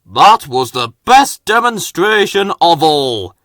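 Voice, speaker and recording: A proud, authoritative railway boss with a kindly streak, as a male reader plays him for a storybook. The delivery is animated and bright. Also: a little high, takes it slowly, no room sound, studio quality, voice pushed up, full character voice. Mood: cheerful.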